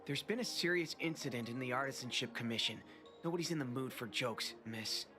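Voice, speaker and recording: A man's voice: medium pitch, a little fast, natural voice. A man voicing an anxious, dejected young craftsman in a video game, fretful and plaintive. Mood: skeptical. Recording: background music throughout.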